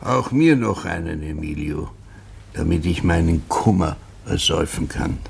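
A man's voice: deep voice, animated, a little slow, creaky, breathy edge, full character voice. A man playing a bitter, melancholy tavern regular drowning his sorrows in a radio play, weary and sardonic. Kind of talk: literary radio play acting.

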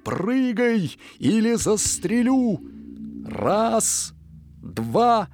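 A man's voice: sits high, big pitch swings, drawn-out words, music in the background, voice pushed up, full character voice. A man voicing a stern, desperate ship captain and father in an audio story, calling out urgent commands. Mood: frantic, commanding.

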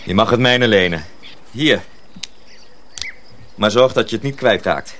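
A man playing a calm senior mill journeyman in a radio play, reassuring and gently instructive. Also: lowish voice, unhurried, slight background noise. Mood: calm, guiding.

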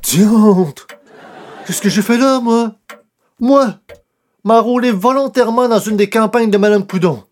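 A man's voice: high-pitched, a little slow, pauses often, darkish tone. Man acting a courteous, put-upon radio-comedy columnist. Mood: appalled, self-reproaching. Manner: courteous, formal, mock-dramatic. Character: polite, put-upon male newspaper columnist. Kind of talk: comic radio play in 1930s-40s style.

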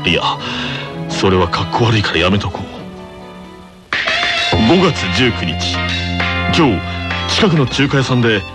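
A man playing an earnest, self-conscious teenage tennis captain reflecting in a deadpan monologue for an anime radio show. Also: on the low side, unhurried, pauses often, over loud music, voice pushed down. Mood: self-conscious musing.

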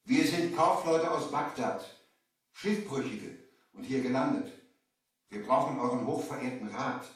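A man's voice: medium pitch, animated, a little slow, far from the mic, natural voice. An actor playing an earnest merchant from Baghdad who narrates his adventure in a dramatic vintage audio play. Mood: hopeful, courteous. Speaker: narrator and lead character. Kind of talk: scripted storytelling, 1970 audio drama. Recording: echoey vintage LP, off the mic.

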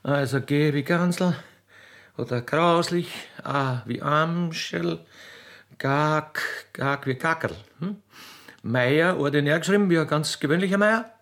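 A man voicing a grumpy, officious young country railway clerk girl with an Austrian accent, halting and cheeky, in a comic radio play. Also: a little high, fairly bright tone, voice pushed up. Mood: pedantic. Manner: halting, stammering, pedantic, cheeky.

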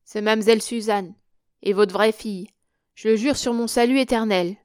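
A woman reading aloud, voicing a coarse old drunken woman with rough, loud, working-class speech. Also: mid-range voice, normal speed, no echo, studio quality, lightly acted. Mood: pleading.

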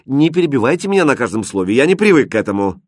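A man's voice: on the low side, sing-song, fairly quick, slightly rough, dry recording. Guy doing a young flute player lad, proud, pushy, touchy, storybook, dry sound. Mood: annoyed. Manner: insistent, proud. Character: earnest young male musician, proud, insistent, quick-tempered.

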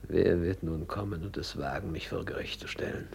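Low, gravelly villain. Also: male voice, moderate pace, darkish tone. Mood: sinister triumph. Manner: declamatory, sinister. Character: cold, scornful male villain unmasking himself. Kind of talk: classic German theatrical radio drama monologue. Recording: faint noise on radio recording.

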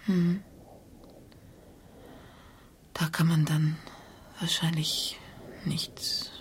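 An actress playing a detached, dreamy wife and mother in a radio drama, murmuring in breathy half-whispers. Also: deep voice, flat delivery, takes it slowly, slight background noise. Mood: absent, murmuring. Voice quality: breathy, often whispering, creaky. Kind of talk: intimate radio drama acting.

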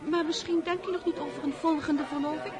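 An actress in a radio play as a gushing, mannered aristocratic hostess who gossips and makes matches. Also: female voice, high voice, steady tone, brisk, crowd noise, close to an everyday voice. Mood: social gushing. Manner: effusive, mannered, sprinkles French endearments.

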